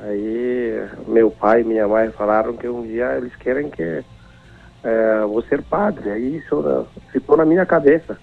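Slow, gravelly man over a phone line. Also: medium pitch. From Timor-Leste. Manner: halting, searching for words, chuckling. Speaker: priest and phone-in guest. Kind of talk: phone interview.

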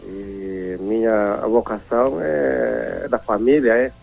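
A man. He talks slowly and haltingly, his voice gravelly with fry. He comes through a narrow, tinny phone line. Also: on the low side, steady tone. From Timor-Leste. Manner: halting, searching for words, chuckling. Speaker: priest and phone-in guest. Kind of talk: phone interview.

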